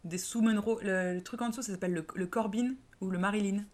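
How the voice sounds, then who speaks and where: A woman co-hosting a podcast, chatty and lively, reading announcements in a friendly casual way. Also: mid-range voice, fast. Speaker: podcast co-host. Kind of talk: casual chat and scripted outro.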